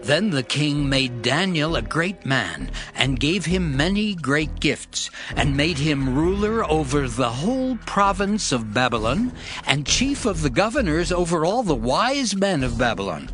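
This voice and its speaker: Expressive male scripture narrator over music. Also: fairly high pitch. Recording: music under the voice. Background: background music.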